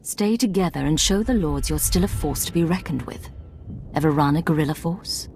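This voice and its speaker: A woman's voice: low voice, normal speed, a little mellow, a little hiss or hum. A woman playing a cool, businesslike Imperial spy agent in a video game, controlled and clipped.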